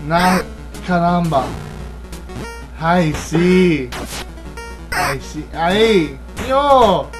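A man playing a slow, dim luchador sidekick. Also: high voice, over music. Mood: whiny. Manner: terse interjections in broken English. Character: dim male luchador sidekick who mostly says yes. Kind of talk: comic game review in character.

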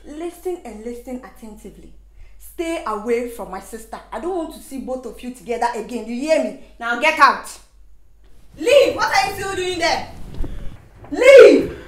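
A woman's voice: a little high, expressive, a little fast, a little mellow, natural voice. An actress with a Nigerian accent playing a bossy, hot-tempered young woman in a screen drama. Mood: furious. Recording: echoey room, faint noise.

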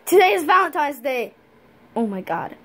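A woman's voice: high-pitched, very animated, a bit bright. A woman voicing a goofy, flustered young male puppy who stammers and rambles in a fan-made cartoon play. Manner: stammering, rambling, nervous fast bursts.

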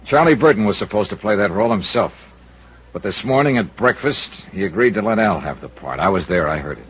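A muffled old radio drama recording carries a tough American investigator. He is cynical and clipped, with lively, swinging intonation and a sardonic edge. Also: fairly low pitch, brisk, breathy edge. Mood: sober. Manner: clipped, sardonic, hard-boiled. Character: tough, cynical male freelance insurance investigator. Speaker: lead actor and narrator. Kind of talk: 1950s radio detective acting.

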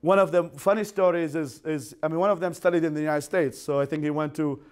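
A man in his thirties from Iraq, an English speaker, giving an animated university lecture with frequent restarts, recorded in a classroom from a camera at some distance. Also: a little high, fast talker, smooth. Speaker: guest speaker.

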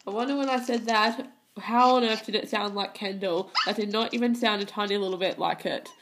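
A woman's voice: mid-range voice, brisk, background noise. A teenage girl with a head cold and a stuffy, tired voice, chatting casually about her pet game with many hesitations. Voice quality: hesitant, many ums and restarts. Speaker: gaming channel host. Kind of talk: casual gameplay commentary. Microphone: phone filming a handheld console screen.

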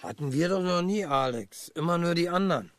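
A man's voice: fairly high pitch, animated, brisk, natural voice. An actor as dry, eager male police detective. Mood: wry. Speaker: actor in a radio crime drama. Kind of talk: naturalistic German radio play acting.

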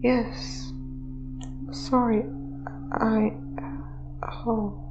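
Timid ghost for audio drama, female actor: highish, hesitant, gloomy, trailing off, music underneath. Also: little pitch movement, very slow, highs cut off. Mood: anxious, hesitant.

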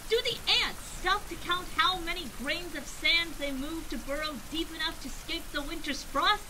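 A woman voicing a boastful, pompous boy adventurer in an old-fashioned radio drama, with archaic, grandiloquent speech that swings from loud to hushed. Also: very high voice, moderate pace. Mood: lofty. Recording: steady background noise.

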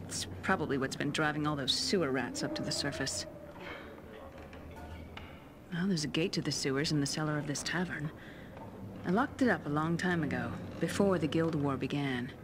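A woman playing a friendly, concerned tavern keeper in a fantasy video game, confiding and unhurried. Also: low-pitched, background noise, lightly acted.

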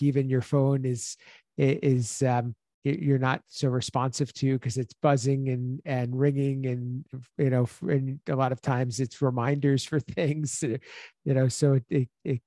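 A man in his forties co-hosting a casual podcast over a video call, asking long, rambling questions full of restarts, close on a boom podcast mic. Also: mellow, no echo. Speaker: podcast co-host. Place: home office on a video call. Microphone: boom podcast mic close to mouth.

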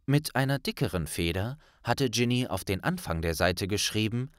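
A man's voice: lowish voice, lively intonation, moderate pace, no room sound, studio quality. A man narrating a fantasy audiobook in a dramatic, scripted storytelling style.

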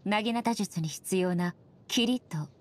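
A woman voicing a dignified, ageless shogun goddess in a game, speaking softly in formal, measured, polite phrases. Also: medium pitch, lightly acted. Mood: stern, formal. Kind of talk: scripted game character voice lines.

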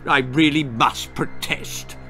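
A man's voice: fairly high pitch, steady tone, slow, pushed and bright, faint music behind. Man voicing a fussy, worried talking toad. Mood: alarmed protest.

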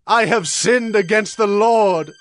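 A man's voice: sits high, takes it slowly. A man playing a passionate king of ancient Israel, earnest and declamatory, in an audio Bible drama. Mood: anguished.

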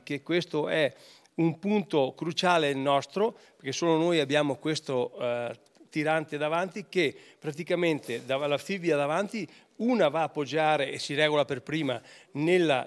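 Older guy explaining ski boots, lots of ehs and restarts, slightly high voice, handheld mic. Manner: hesitant, many fillers and restarts. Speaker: ski boot brand representative. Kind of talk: technical product explanation. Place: indoor ski test booth, wooden backdrop. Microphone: handheld interviewer mic.

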